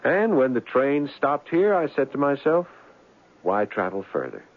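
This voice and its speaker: An actor playing an earnest, smooth-talking young man, a reformed crook courting sincerely and eagerly, in an old-time radio drama. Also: medium pitch, very animated, unhurried. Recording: muffled phone-like vintage radio sound.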